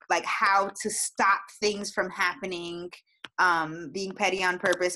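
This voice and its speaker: A woman's voice: fairly low pitch, sharp tone, slight background noise, low-quality recording. A young adult woman teacher from Florida, chatting casually in an interview, laughing often and filling with "like". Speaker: teacher, guest.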